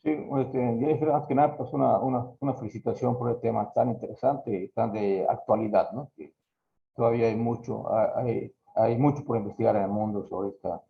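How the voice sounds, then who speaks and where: A man's voice: mid-range voice, steady tone, a little fast, vocal fry, a little hiss or hum. A man, a professor asking a guest lecturer long, formal questions. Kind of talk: conference Q&A. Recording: echoey muffled room sound.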